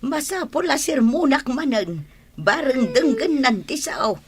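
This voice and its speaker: Woman playing scolding old ilocano lola, raspy and a bit high, fretful, radio comedy. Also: lively intonation, unhurried, exaggerated voice. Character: scolding, fretful village grandmother, old and stern.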